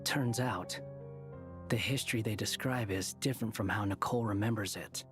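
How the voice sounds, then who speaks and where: A man's voice: fairly low pitch, normal speed, natural voice. A man playing a cool, self-assured young wanderer in a video game, speaking matter-of-factly. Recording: music behind the voice.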